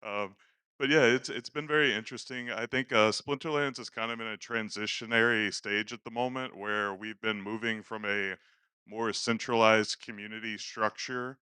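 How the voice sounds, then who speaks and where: A man speaks in a low, smooth voice with a bright edge and a dry sound. He is a novice presenter on a conference stage, informal and a little hesitant into a handheld microphone. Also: fairly even intonation, normal speed, no echo. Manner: hesitant, self-effacing. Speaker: first-time conference speaker. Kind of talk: informal unscripted stage talk. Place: conference stage at live event.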